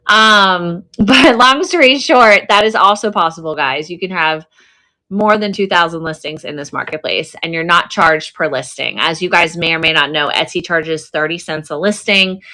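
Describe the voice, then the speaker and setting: A woman's voice: on the low side, bright, forceful tone. A woman hosting a live online sale in casual chat, effusive and chatty, often repeating words for emphasis. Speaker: host of an online selling livestream.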